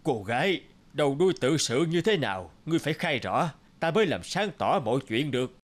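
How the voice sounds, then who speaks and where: Stern fair mandarin judge, commanding, deliberate, expressive intonation, cartoon folktale, music behind. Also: male voice, fairly high pitch. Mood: composed, stern. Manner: authoritative, deliberate. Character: dignified visiting royal judge, stern and fair. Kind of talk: animated folktale acting.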